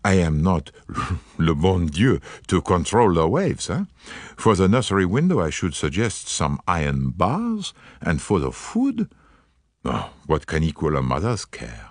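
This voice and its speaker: A man's voice: deep voice, huge pitch swings, measured pace, no echo, studio quality, heavily acted. A man voicing a fussy elderly Belgian detective with a French accent, precise and courteous, slipping in French words. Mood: gently dismissive.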